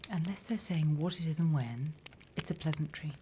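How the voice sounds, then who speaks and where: A British woman whispering in an ASMR roleplay as a stern, dry demon instructor, breathy and close to the microphone. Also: low-pitched, warm tone. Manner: slow, deliberate, dry instructing. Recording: close whisper with background hiss.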